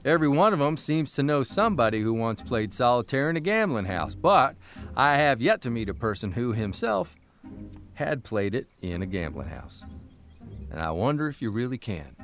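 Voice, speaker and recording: A man's voice: mid-range voice, sing-song, normal speed, a bit bright, soft background music. An actor playing a wry, folksy newspaper columnist who tells his own stories in a plain-spoken, conversational way for an audio drama. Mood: rueful, dry. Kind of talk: first-person storytelling in audio drama.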